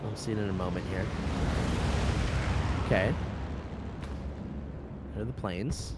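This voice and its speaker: A man's voice: fairly low pitch, measured pace, very noisy. An American man commentating a competitive game in an easygoing way, agreeing and laughing often. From United States. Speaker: esports commentator. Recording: game artillery and gunfire behind. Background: artillery and gunfire from the game.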